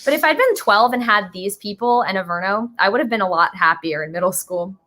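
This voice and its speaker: A woman's voice: medium pitch, expressive, a little fast, sharp tone. A young woman guest in a casual chat, telling informal, rambling stories full of "like". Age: young adult. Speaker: guest, writer-creator.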